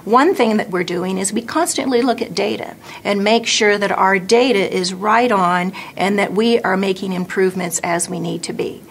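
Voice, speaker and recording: A woman's voice: mid-range voice, moderate pace, gravelly, hardly any pauses, slight background noise. An American woman in her fifties explaining hospital practice in an interview as a nurse manager. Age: 50s. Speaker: nurse manager in infection prevention. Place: indoor office or meeting room.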